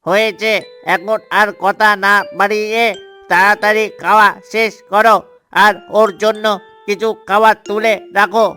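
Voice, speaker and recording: A man voicing a patient, hardworking cartoon father duck, an earnest and even-tempered provider. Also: high-pitched, a little slow, soft background music. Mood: placating.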